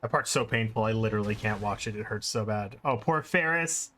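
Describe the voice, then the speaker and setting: A man's voice: on the low side, a little fast, sharp tone, slight background noise. A man giving casual, exclamatory commentary while he plays a video game on a livestream. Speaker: speedrunner streamer playing and commenting.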